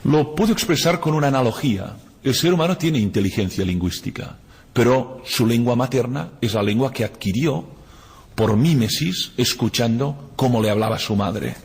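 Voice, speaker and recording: Catalan-native male lecturer, husky timbre, reflective explainer, reverberant hall sound. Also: medium pitch, expressive, moderate pace, darkish tone. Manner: reflective, explains with analogies and rhetorical "¿no?". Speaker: guest lecturer. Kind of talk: university lecture.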